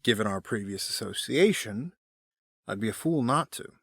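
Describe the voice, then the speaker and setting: A man's voice: on the low side, expressive, normal speed, heavy background noise. A man playing a smug, powerful middle-aged villain in an audio drama, suave, formal and condescending. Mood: smug, taunting.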